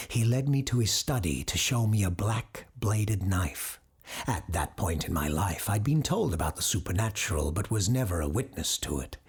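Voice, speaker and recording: Husky, low-voiced male parapsychologist host. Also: heavily acted. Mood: reflective, measured. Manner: formal, measured, earnest with dry hesitations. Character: male parapsychologist radio host, grave, formal, slightly defensive. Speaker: host of a supernatural call-in show. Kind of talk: scripted audio drama radio monologue.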